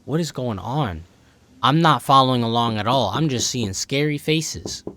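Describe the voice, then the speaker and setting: A man's voice: mid-range voice, expressive, normal speed, bright, forceful tone. A young American man giving casual, joking reaction commentary in exclamatory slang. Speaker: host of a reaction channel.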